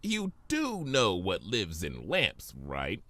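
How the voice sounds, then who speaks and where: A man acts out a grandiose chimera trickster playing genie in a storybook reading. The pitch swoops dramatically from low to high, wheedling and showy, in a dry, close sound. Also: huge pitch swings, slow, a bit bright, no echo, heavily acted. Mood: impatient. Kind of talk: fan fiction audiobook character voice.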